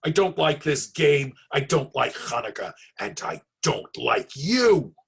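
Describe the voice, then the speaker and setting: A man's voice: on the high side, big pitch swings, takes it slowly, vocal fry, fairly bright tone, slightly rough, exaggerated voice. A man voicing a greedy, sulky winged goblin in a storybook, petulant and blunt. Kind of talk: storybook read-aloud character.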